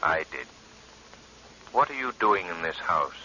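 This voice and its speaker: A grave, unseen avenger from a 1940s radio thriller. The voice is very low and forceful, measured and commanding. It comes through a dull old recording with faint hiss. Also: male voice, big pitch swings, full character voice. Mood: stern. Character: eerie, grave, invisible avenger addressing an old woman. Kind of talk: 1940s radio drama acting.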